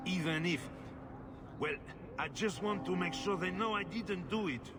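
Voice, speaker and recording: A man's voice: high-pitched, a little slow. A man voicing a pompous, self-pitying nobleman in a video game, haughty and given to indignant complaint. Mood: exasperated, defensive. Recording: music behind the voice.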